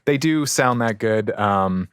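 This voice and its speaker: Casting: male co-host, lowish voice, close dry sound, easygoing conversational sponsor read. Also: lively intonation, slow, a bit bright, smooth, no room sound. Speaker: podcast co-host.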